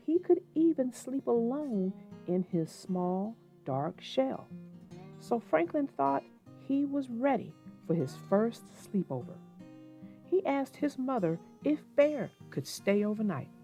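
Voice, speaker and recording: Female children's read-aloud narrator: lively pitch swings, unhurried pace, plucked guitar music behind. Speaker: host and storybook narrator.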